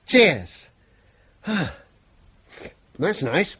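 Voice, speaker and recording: An actor playing a hesitant, deferential working-class chauffeur with a British accent in a radio play. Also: male voice, a little high, very animated, drawn-out words, pushed and bright, breathy edge, heavily acted. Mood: polite, awkward. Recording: dull lo-fi radio recording.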